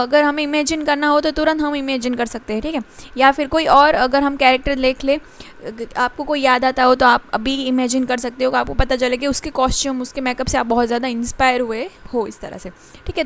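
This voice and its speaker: A woman teaching a commerce class in Hindi, reading definitions slowly and pausing often with fillers. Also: high voice. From India. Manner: explains in Hindi, reads definitions slowly in English, frequent fillers and ठीक है. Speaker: commerce lecturer. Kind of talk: classroom lecture.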